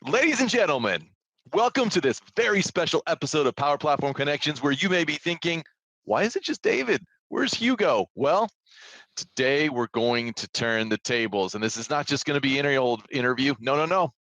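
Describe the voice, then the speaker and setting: Animated joking male show host. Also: fairly high pitch, fairly quick, no echo. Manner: jokey, animated, self-mocking. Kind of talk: playful show hosting.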